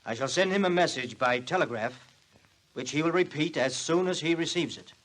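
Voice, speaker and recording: A man playing an earnest, imaginative inventor in a 1940s radio drama, speaking formally and deliberately. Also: fairly high pitch, a bit bright, natural voice. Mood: solemn.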